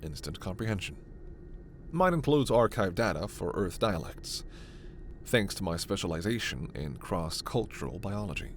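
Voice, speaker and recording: A man playing a gentle, scholarly, protective alien doctor in a sci-fi ASMR roleplay, speaking in a measured, formal, precise way. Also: deep voice, sing-song, a little hiss or hum. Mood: calm, informative.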